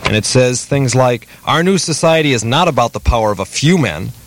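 A man's voice: medium pitch, lively intonation, a little fast, noisy background. A man in improvised comedy radio banter, talking in a hesitant, rambling hipster manner.

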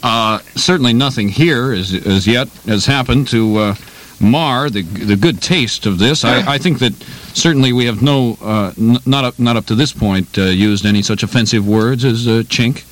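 A man's voice: medium pitch, moderate pace. A man in a radio comedy sketch, speaking in a mock-earnest, hesitant way. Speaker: comedy troupe member.

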